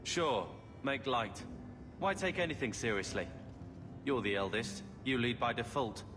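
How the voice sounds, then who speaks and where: A man's voice: medium pitch, expressive, a little slow, background noise, close to an everyday voice. Sullen sarcastic young man acted, reverberant. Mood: sarcastic, resentful. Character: resentful, sarcastic young younger brother in fantasy world. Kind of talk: dramatic video game acting. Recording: long reverb, loud music behind.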